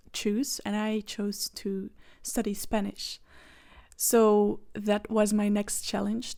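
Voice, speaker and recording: A young woman with a Belgian Dutch accent talks to camera about her life, with a native Dutch speaker's lilt. Her pitch stays level and even, with little rise or fall. She sounds earnest and easygoing, leaning on little fillers as she goes. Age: 20s. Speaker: vlogger. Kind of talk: personal storytelling to camera.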